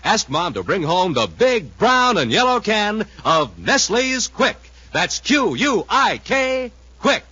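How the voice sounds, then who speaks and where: A man announcing and pitching as on 1950s radio, with punchy, exuberant salesman delivery. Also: big pitch swings, slow pace, bright, forceful tone, husky. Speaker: announcer and narrator. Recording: muffled, roomy 1950s radio recording.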